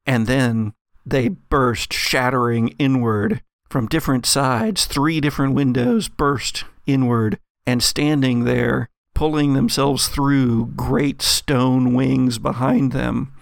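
A game master narrating a tabletop adventure in a dramatic, deliberate storytelling manner. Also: mid-range voice, vocal fry, no echo, clean studio sound.

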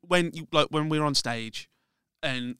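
A man's voice: fairly high pitch, measured pace, sharp tone, clear, resonant tone, dry recording. A man hosting a podcast, chatting with a bandmate. He asks friendly prompting questions and acts out quotes of what people said. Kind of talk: casual interview chat.

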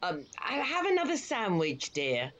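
A woman plays an older mother in an audio drama, a fussy and effusive hostess. She takes her words slowly and is quick to fuss over guests and husband. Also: mid-range voice, wildly swooping pitch, fairly bright tone, muffled recording. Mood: scolding. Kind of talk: audio play acting.

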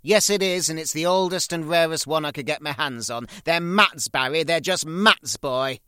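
Man putting on a cantankerous old mother, high-pitched. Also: normal speed, sharp tone, clean tone, dry recording, clean studio sound. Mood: scornful. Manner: snappish, belittling. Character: cantankerous, scornful, foul-mouthed old mother. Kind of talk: acted quote in audio drama monologue.

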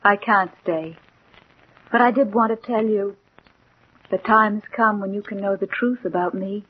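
An actress playing a mysterious, composed woman with a measured, beguiling manner in a 1940s radio mystery. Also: mid-range voice, breathy edge. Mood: composed, enigmatic. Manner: measured, enigmatic, gently insistent. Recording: thin, muffled 1940s radio recording.

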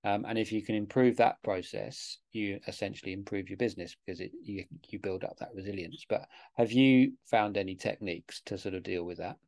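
A man hosting a casual interview, asking reflective questions with halting restarts. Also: on the low side, a little mellow. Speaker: interviewer, host.

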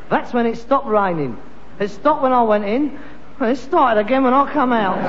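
Indignant rag-and-bone son, theatrical rants, dull old radio sound, faint hiss, long echo. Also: male voice, very high voice. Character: exasperated grown son, a frustrated rag-and-bone man with big dreams. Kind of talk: 1960s radio sitcom acting. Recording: dull 1960s radio broadcast with hiss and a roomy reverb.

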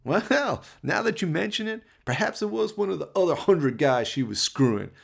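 A man's voice: high voice, big pitch swings, normal speed, vocal fry, voice pushed up, heavily acted. A man voicing a smug, sleazy gang leader with cocky, mocking taunts in a comic radio play. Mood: smug mockery.

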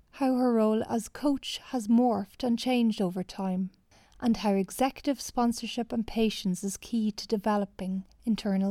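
Female narrator, mellow and warm, calm scripted summary, dry close sound. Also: medium pitch, dry recording. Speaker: episode narrator.

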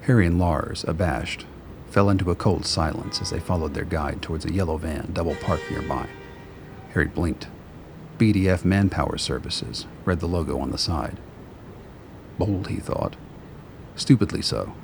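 A man's voice: low-pitched, normal speed, creaky, over music. A man giving scripted narration for a radio play.

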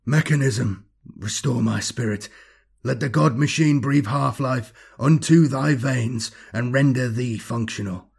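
A man's voice: medium pitch, a little slow, creaky, darkish tone, husky. A man voicing a weary, grimly resolute male tech-priest and mentor, grave and muttering, in a sci-fi audiobook. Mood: muttered chanting.

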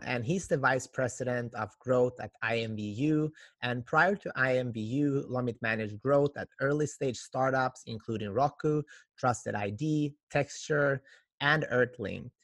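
A man's voice: medium pitch, normal speed, a bit bright, no echo. A man hosting a podcast, upbeat in introductions and stumbling over his questions with "like". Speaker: podcast host.

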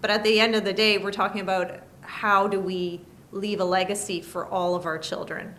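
Measured woman explaining law. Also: medium pitch, flat delivery, fairly bright tone, slight background noise. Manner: measured, explains by listing points. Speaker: lawyer guest. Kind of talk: interview answers. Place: studio table.

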